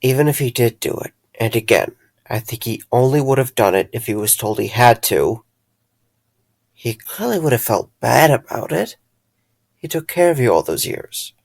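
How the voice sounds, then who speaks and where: A man's voice: mid-range voice, lively intonation, normal speed, gravelly, a little mellow, dry recording. A man playing a caring, dryly wry friend in an audio drama, earnest and a little hesitant. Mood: reassuring.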